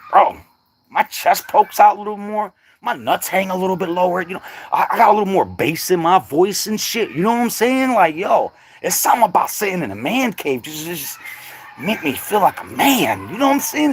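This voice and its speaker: A man's voice: high-pitched, breathy edge. A middle-aged man chatting casually on a livestream, laid-back and slangy, laughing often. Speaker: livestream host.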